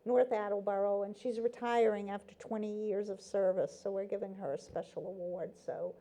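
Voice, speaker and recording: A woman guest on a talk show, her voice very warm and dark. She explains earnestly and at length, pausing on "um"s and "you know"s. Her pitch is typical for a woman. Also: steady tone, low-quality recording. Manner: earnest, explaining, with many fillers. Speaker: guest from a nonprofit. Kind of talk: casual interview chat.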